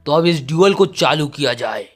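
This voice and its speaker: A man's voice: on the high side, animated, normal speed, soft background music, close to an everyday voice. A man voicing a stern, commanding lord and father in a manga story. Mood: announcing. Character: stern middle-aged lord, a grumbling father.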